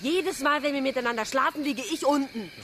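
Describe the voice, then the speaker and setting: An actress playing a defiant, indignant young woman with a sharp tongue in a science fiction radio drama. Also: high-pitched, brisk, slight background noise. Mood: fed up. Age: young adult. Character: fed-up, defiant young woman quarrelling with her partner. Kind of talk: German radio drama acting.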